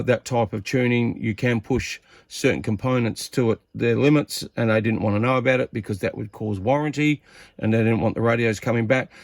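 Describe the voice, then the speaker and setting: A man's voice: mid-range voice, vocal fry, dry recording, studio quality. A man giving a casual hands-on demonstration as a radio hobbyist, rambling informally and thinking aloud as he goes.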